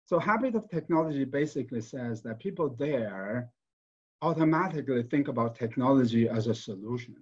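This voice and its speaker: A man from China, a professor giving a panel talk, speaking haltingly with many repeated words and fillers. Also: mid-range voice, animated, a little mellow, husky. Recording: slightly dull, lo-fi sound.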